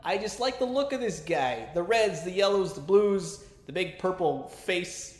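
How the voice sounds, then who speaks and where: A man's voice: high voice, animated, measured pace, roomy sound. A man giving a casual, chatty toy review with a fan's enthusiasm and colloquial asides. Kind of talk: casual action figure review commentary.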